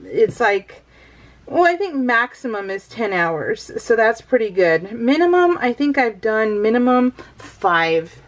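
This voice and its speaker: A woman's voice: a little high, sing-song, unhurried. A middle-aged American woman chatting casually over her craft project as a vlogger, rambling and easily sidetracked, with a bubbly manner.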